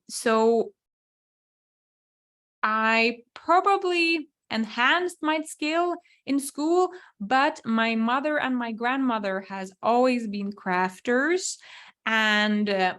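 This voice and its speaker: Young woman, slow unhurried pace, thinking aloud with ums, webinar host. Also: fairly high pitch, a bit bright. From Finland. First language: Swedish. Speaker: webinar host, crochet designer. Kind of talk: live webinar Q&A.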